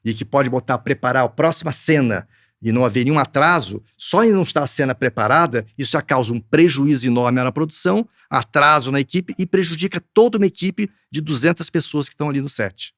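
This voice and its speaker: Gravelly, hesitant Brazilian man. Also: mid-range voice, fast, a bit bright, no echo, clean studio sound. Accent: Brazilian Portuguese. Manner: hesitant, restarts a lot, earnest. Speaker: guest, film producer. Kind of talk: casual interview answers.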